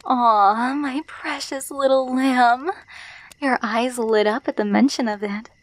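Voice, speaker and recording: A woman's voice: fairly high pitch, fairly even intonation, takes it slowly, dry recording. A woman playing a possessive alpha werewolf in an ASMR roleplay, taunting and condescending as she coos pet names. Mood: possessive, smug. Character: dominant, possessive female alpha werewolf, teasing and menacing.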